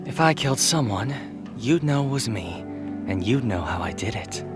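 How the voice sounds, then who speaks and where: A man's voice: fairly low pitch, lively intonation, normal speed, lightly acted. A man playing a brooding, jealous god of death in an audio drama, speaking with an intense, challenging manner. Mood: probing. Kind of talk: scripted audio drama acting. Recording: music under the voice. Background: music.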